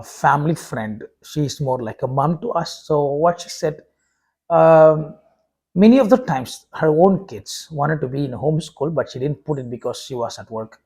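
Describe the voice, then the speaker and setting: Husky man, halting talk. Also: fairly high pitch, animated, a little mellow. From India. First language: Tamil. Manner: halting, many uh fillers and restarts. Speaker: vlogger and preacher. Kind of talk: casual talk to camera.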